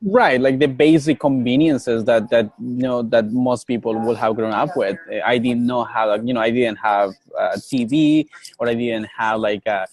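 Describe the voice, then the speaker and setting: A man's voice: mid-range voice, steady tone, normal speed, darkish tone, low-quality recording. A young man from Colombia whose first language is Nasa, speaking as a guest in an earnest way and often repeating words as he searches for them. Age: young adult. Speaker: guest, coffee business owner. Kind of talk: casual interview.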